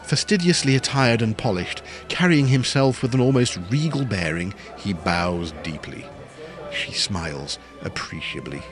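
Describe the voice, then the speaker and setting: A man's voice: medium pitch, expressive, a little slow, natural voice. A man narrating a period farce as a wry, descriptive storyteller with a scripted delivery. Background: prominent music.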